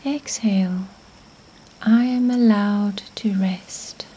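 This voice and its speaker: Very slow, hushed woman guiding meditation. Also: medium pitch, fairly even intonation, low-quality recording. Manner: soothing, gentle, hushed with breathy near-whispered phrase endings. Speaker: guided meditation narrator. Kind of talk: guided meditation, scripted narration.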